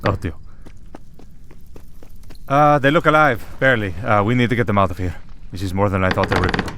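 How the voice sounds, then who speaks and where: Guy doing old immortal vampire priest, deep-ish voice, wry and protective, audio roleplay style. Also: fairly quick, a bit bright, a little hiss or hum, close to an everyday voice. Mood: cautious, wry. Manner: dry, sardonic, reassuring.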